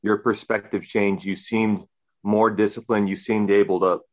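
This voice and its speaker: Low-voiced earnest man of thirty. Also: flat delivery, moderate pace, dull audio. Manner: earnest, rambling with fillers. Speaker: podcast guest. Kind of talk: casual round-table talk.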